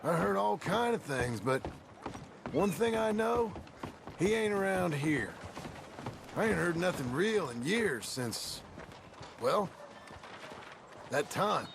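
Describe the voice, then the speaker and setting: A man playing a rough, plain-spoken Old West outlaw with an American accent and folksy, terse phrasing in a game. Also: sits high, big pitch swings, slow pace, long pauses, background noise, heavily acted. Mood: sombre, reflective. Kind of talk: naturalistic game cutscene dialogue.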